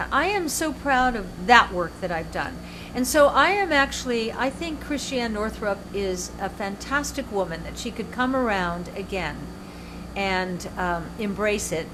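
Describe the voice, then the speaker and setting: Animated older woman host, emphatic. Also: a little high, background noise. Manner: animated, emphatic storytelling with restarts. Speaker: show host and author. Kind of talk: casual chat.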